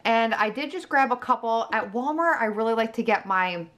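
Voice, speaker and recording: A woman's voice: fairly high pitch, moderate pace, fairly bright tone. A woman chatting casually as a vlog host, talking through things in an emphatic, conversational way, recorded indoors at home on a camera. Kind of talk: casual grocery haul narration, chatty.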